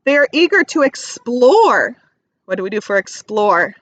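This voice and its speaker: A woman teacher reading aloud to young children, expressively stressing key words and pausing to ask simple questions. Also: fairly high pitch, big pitch swings, a bit bright, dry recording. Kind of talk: read-aloud lesson with questions to young listeners.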